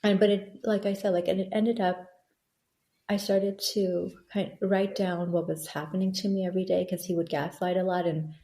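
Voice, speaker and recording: A woman's voice: on the low side, level tone, moderate pace, mellow. A woman in her thirties, born in Bangladesh and raised in Thailand, telling her own story earnestly as an interview guest, often restarting her sentences. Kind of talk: candid conversational interview. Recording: echoey room.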